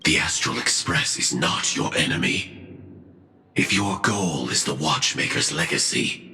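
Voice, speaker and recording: A man voicing a cold, guarded armored mech hunter in a video game, terse and deliberate, through a metallic helmet filter. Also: a bass voice, pushed and bright, heavily acted. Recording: echoing processed voice over music.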